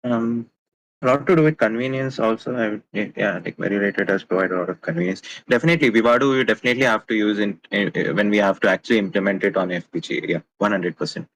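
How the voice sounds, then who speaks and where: A man's voice: fairly low pitch, quick pace, fairly bright tone, a little hiss or hum, low-quality recording. A man answering technical questions in a casual online meeting, hesitant with many fillers and restarts. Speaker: mentee presenting a project.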